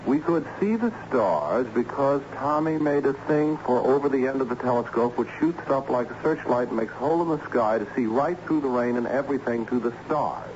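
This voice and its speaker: An actor playing a harried, ironic assistant principal in an old-time radio drama, theatrical and patiently explanatory. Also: male voice, mid-range voice, lively intonation, brisk, few pauses, a little mellow, close to an everyday voice. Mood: enthused, explanatory. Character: harried, excitable male school assistant principal with ironic humour. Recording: dull, noisy old radio recording.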